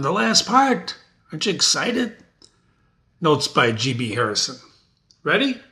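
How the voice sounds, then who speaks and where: A man's voice: on the high side, bright, forceful tone. A man hosting a dramatic reading, explaining it conversationally and checking in with rhetorical questions. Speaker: host and reader.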